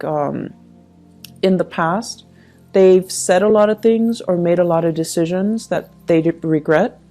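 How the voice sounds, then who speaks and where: A woman's voice: on the low side, mellow. A woman giving a conversational tarot reading, speaking reflectively and advising the listener directly. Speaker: tarot reader, channel host. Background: background music.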